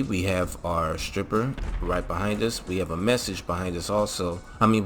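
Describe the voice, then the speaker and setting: Deep-voiced man, casual gaming tutorial host. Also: a bit bright. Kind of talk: casual video game mod tutorial commentary. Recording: music behind the voice. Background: music.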